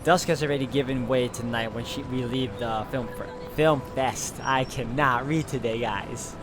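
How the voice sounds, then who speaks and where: Man, visual novel narration, read aloud, mid-to-normal pitch, guitar music in the background. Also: fairly even intonation, moderate pace. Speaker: video game player and narrator. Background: guitar game music.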